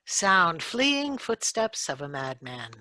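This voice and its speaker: A woman reading out the sound effect cues in a live radio play, announcing each one flatly. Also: lowish voice, slow pace, no echo.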